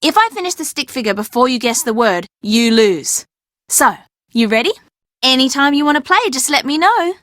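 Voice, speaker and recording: A woman's voice: sits high, expressive, normal speed, fairly bright tone, dry recording, studio quality. A woman playing a chatty, sassy young fashion model who likes to fib, in video game dialogue with a dramatic, emphatic delivery. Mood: chatty, coaxing. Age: young adult.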